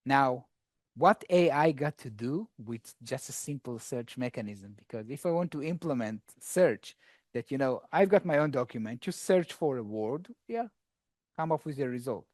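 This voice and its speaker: A man's voice: mid-range voice, expressive, normal speed, dry recording. A man giving a casual, chatty technical talk with a live coding demo to a conference audience, joking with the room as he goes. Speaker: conference speaker.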